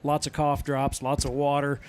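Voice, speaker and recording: A man calling live high school football, his voice slightly raspy as it recovers from being lost. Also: monotone, normal speed. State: voice recovering after losing it. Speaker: football play-by-play commentator. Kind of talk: live sports commentary.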